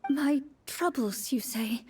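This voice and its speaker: A woman's voice: sits high, lively intonation, takes it slowly, a little mellow, husky, far from the mic, full character voice. A woman playing a troubled, reserved and hesitant townswoman in a video game. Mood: worried. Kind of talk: video game character acting.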